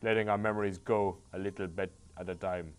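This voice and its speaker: A man's voice: sits low, steady tone, fairly quick, clear, resonant tone. Sarcastic Irish man voicing game dialogue. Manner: sarcastic, swears and jokes between read lines. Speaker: host playing a game. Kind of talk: reading a game's dialogue aloud with commentary.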